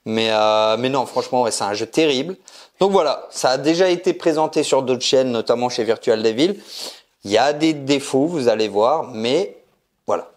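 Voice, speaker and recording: A man's voice: mid-range voice, expressive, moderate pace. French guy co-hosting an unboxing, jokey, laughs a lot. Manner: joking, laughs often. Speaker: co-host of a collector channel. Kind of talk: casual unboxing chat.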